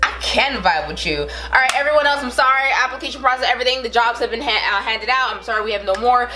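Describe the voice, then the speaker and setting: A woman's voice: fairly high pitch, fast talker, sharp tone, soft background music. A woman in her thirties with a Brooklyn accent, chatting casually to camera with lots of fillers and rambling asides. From the Bronx, New York. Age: 30s. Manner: rambling, chatty, with frequent fillers and self-amused laughs. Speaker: vlogger.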